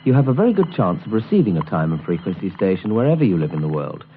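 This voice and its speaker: A man presenting a scripted instructional guide, explaining things in a clear, didactic way. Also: low voice, very animated, fast, phone-quality sound, a little hiss or hum. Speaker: presenter of a shortwave listening guide.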